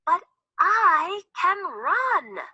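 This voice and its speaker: A woman's voice: lowish voice, huge pitch swings, takes it slowly, bright, forceful tone, slightly rough, no room sound, lo-fi sound, heavily acted. A woman voicing a tiny, fearless little girl chick. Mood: eager.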